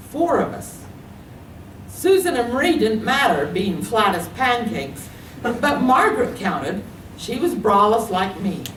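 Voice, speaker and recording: An older woman with an American accent reads a personal story aloud expressively at a public reading. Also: a little high. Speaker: writer reading own work. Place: public reading in a library room. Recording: echoey room, distant mic, faint noise.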